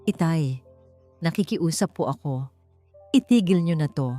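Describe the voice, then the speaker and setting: A woman voicing a calm, resolute grown child who defies a powerful father in a story. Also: deep voice, unhurried. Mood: pleading. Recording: music bed behind the voice.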